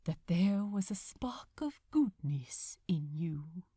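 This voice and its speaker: Woman as gentle storybook angel man, earnest, restrained, soft careful speech, unhurried, dry sound. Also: on the low side, lively intonation, slightly rough, dry recording, exaggerated voice. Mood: tender.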